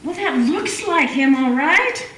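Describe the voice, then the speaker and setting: A woman's voice: sits high, animated, unhurried, a bit bright. A woman playing a bold, blunt old-west townswoman in a community radio play, speaking with urgency. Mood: urgent. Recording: echoey room.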